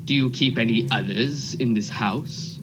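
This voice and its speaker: An earnest, officious Puritan lawman acted by a man in a radio drama. His mid-pitched voice is formal, rustic and insistent. Music plays behind him. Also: unhurried, close to an everyday voice, rough recording. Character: Cheever, earnest, officious male court officer serving warrants. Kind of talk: radio play acting.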